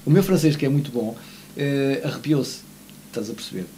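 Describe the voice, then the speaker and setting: A man in his forties with a European Portuguese accent, co-hosting a morning TV show and telling animated, self-mocking anecdotes in casual chat. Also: mid-range voice, brisk, a little hiss or hum. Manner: animated storyteller, self-mocking, gestures while talking. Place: small TV studio, seated at desk.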